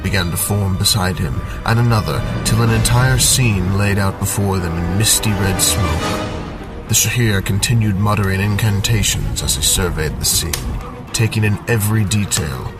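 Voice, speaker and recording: A man narrating a dramatic fantasy story from a script in a deliberate, theatrical manner. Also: on the low side. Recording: very loud music under the voice. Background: cinematic soundtrack music.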